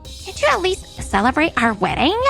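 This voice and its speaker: An entitled young bride acted by a woman in a manga dub. She is indignant and demanding, with a sharp, creaky voice that swings wildly in pitch over light music. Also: huge pitch swings, moderate pace, heavily acted. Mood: indignant, pleading. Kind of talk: manga story dub acting. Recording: music under the voice.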